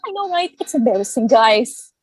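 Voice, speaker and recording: A woman's voice: a little high, very animated, moderate pace, husky, dry recording, clean studio sound, faint music behind. A woman playing an embarrassed, girlish teenage demigod in a sung amateur musical read.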